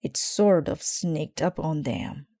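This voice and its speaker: A woman reads a children's story aloud in a measured, deliberate storytelling manner. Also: deep voice, dark tone, no room sound, clean studio sound. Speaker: storybook reader. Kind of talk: scripted children's story narration, read aloud.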